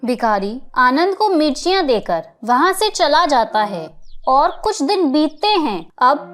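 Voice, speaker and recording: A woman's voice: sits high, big pitch swings, a little slow, a bit bright. A woman narrator reading a scripted children's moral story.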